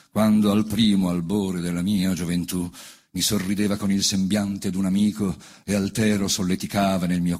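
A man reciting epic autobiographical verse in a solemn, declamatory manner, with deliberate pauses between phrases. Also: sits low, breathy edge. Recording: voice over band music accompaniment. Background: wind band music.

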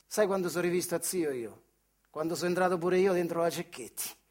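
A man's voice: high voice, brisk, darkish tone, lightly acted. A male actor with a Marche accent from central Italy playing Adriano, an earthy, wry factory worker who tells his memories in a colloquial theatrical monologue. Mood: wry narrating. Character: Adriano, working-class old factory man telling his childhood memories. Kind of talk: theatrical monologue storytelling in dialect-tinged speech.

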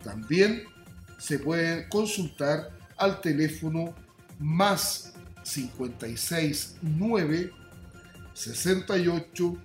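A man reads a formal radio announcement slowly, in a fairly high male voice. His measured delivery rings with echo, and music plays behind him. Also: lively intonation. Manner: measured, formal, reading out details. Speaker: announcer of a municipal radio bulletin. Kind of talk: scripted public service announcement. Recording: echoey voice over background music.

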